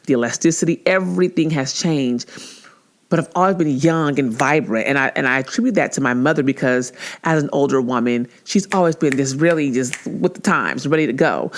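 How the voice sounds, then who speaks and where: A woman in her forties hosting her own podcast in a casual monologue, chatty and animated, and often jumping much higher in pitch when she acts out something someone said. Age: 40s. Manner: laughs easily, with frequent filler words and restarts. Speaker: podcast host.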